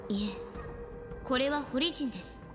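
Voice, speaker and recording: An actress playing a calm young woman physician in a Japanese video game, speaking politely and in measured, formal phrases. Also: sits high. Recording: loud game music underneath. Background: game music.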